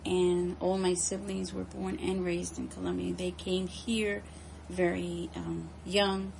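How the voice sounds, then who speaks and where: Slow, creaky-voiced woman in an interview. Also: lowish voice, level tone, a little mellow, slight background noise, poor audio. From United States. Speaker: interviewee, home care nurse. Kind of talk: conversational interview answers.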